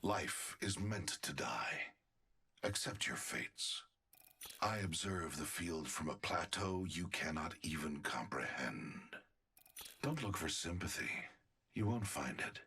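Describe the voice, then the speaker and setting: A man voicing a cold, sadistic scientist villain in a video game, deliberate and condescending. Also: lively intonation, pushed and bright, heavily acted. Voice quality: very deep, raspy. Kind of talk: video game character voice lines.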